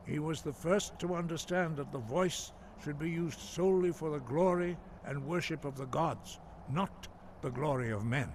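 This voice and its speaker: A man's voice: medium pitch, close to an everyday voice. Solemn male monk played by a man, noisy ambience. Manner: measured, reverent, formal. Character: solemn old male monk teaching sacred lore. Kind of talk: solemn scripted lore narration. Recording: game audio with clear ambient noise.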